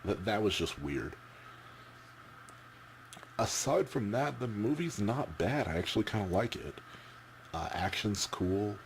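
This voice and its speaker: Male vlogger, deep voice, halting unscripted chat with repeated words, noisy camera-mic recording. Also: animated, creaky. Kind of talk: casual off-the-cuff opinion chat.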